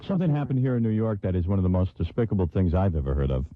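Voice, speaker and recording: A sarcastic male radio host trades jokes in casual banter. His pitch moves a lot, and the sound is dry and narrow, like an old phone-line broadcast. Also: big pitch swings, racing, no echo. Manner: sarcastic, joking. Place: New York radio studio.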